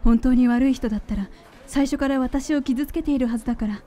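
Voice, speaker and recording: A woman acting in a radio drama as a gentle, sincere young girlfriend. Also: high-pitched, quick pace, close to an everyday voice. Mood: moved. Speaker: voice actor.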